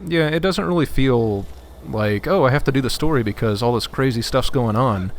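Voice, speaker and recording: A man's voice: mid-range voice, moderate pace. A man giving casual video game commentary in a rambling, hesitant way. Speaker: gameplay commentator. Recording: faint background game noise. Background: game sound.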